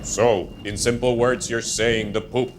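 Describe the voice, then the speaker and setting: A man voicing a dry, blunt puppet TV reporter in a formal, deadpan mock-news style. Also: medium pitch, unhurried, a little hiss or hum, lightly acted. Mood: deadpan, inquisitive.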